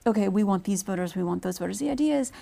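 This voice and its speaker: Gravelly-voiced woman chatting on a panel. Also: mid-range voice, animated, very fast, dark tone. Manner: chatty, enthusiastic, many restarts. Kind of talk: casual panel discussion.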